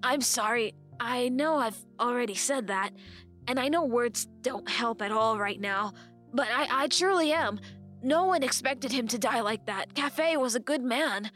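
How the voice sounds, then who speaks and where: A woman's voice: a little high, measured pace, fairly bright tone, faint music behind, voice pushed up. A woman voicing an earnest, subdued young male hero, sincere and hesitant, in a fantasy story. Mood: apologetic.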